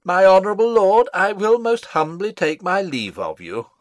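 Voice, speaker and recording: A man's voice: sits high, animated, moderate pace, no room sound. A man playing a meddling, pompous old royal counsellor in a Shakespearean dramatic reading, deferential and ponderous.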